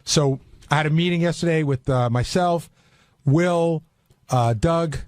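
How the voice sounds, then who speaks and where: A man's voice: a little high, unhurried, a little mellow, no room sound. A man chatting casually on a radio talk show, explaining things in a rambling way. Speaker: radio show staff member on air. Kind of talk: casual studio discussion.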